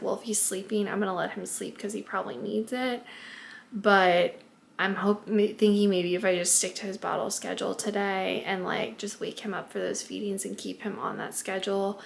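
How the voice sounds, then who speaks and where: A young woman vlogging casually at home as a stay-at-home mom, chatty and often hushed, congested and a bit raspy from allergies. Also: mid-range voice, fairly even intonation, fairly quick, slight background noise. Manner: chatty, lots of "like" and "um", often hushed to not wake the baby. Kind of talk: casual vlog talk to camera.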